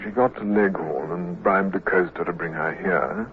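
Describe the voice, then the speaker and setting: An actor playing an urbane lord in a radio drama, formal and wryly amused in manner. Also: male voice, low-pitched, moderate pace. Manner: suave, wry, formal. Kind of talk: vintage radio drama acting. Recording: noisy, tinny old radio recording.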